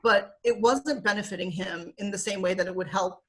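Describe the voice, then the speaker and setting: A woman's voice: mid-range voice, brisk. Chatty woman with a Michigan accent. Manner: chatty with frequent fillers, laughs often. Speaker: guest. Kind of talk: casual interview talk. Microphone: video call.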